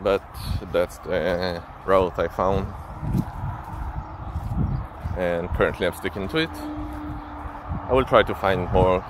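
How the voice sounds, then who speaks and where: A young Israeli man, a native Hebrew speaker, talking hesitantly into his phone while walking outdoors along a path near a highway, with many fillers and restarts. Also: fairly even intonation, unhurried. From central Israel. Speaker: vlogger. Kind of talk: casual walking vlog. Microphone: phone held while walking. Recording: loud traffic noise outdoors.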